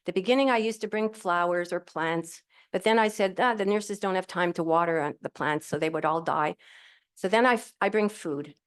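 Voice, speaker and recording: A woman's voice: on the low side, normal speed, clean tone. Cheerful woman telling her story. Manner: cheerful, candid, rambling storyteller. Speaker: panel guest. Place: event hall with audience. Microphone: handheld microphone.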